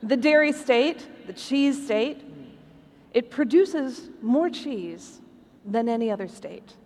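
A woman's voice: on the high side, lively intonation, slow pace, a little mellow. A woman podcast host presenting a live stage show to a convention audience. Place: large indoor convention hall. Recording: echoey convention hall, clear background noise.

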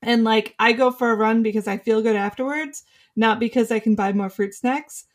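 A woman with a fairly high voice talks in a relaxed, rambling way on a podcast. She laughs often between her thoughts. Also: moderate pace. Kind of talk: casual chat.

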